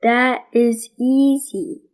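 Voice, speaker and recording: Storybook boy detective, played by a woman: serious, deadpan, extremely slow and deliberate, on the higher side. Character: serious, unhurried young boy detective, matter-of-fact. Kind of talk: storybook character reading.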